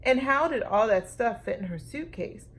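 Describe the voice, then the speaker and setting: A woman reading a children's story aloud with expressive, deliberate phrasing and dramatic emphasis. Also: a little high. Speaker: storytime reader.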